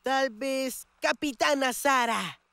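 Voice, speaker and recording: High-voiced little boy pirate. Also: slow pace, pushed and bright, clear, resonant tone, no echo, exaggerated voice. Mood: grudging. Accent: Latin American. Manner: childlike, earnest. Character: young boy, mischievous would-be pirate. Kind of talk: animated cartoon dub.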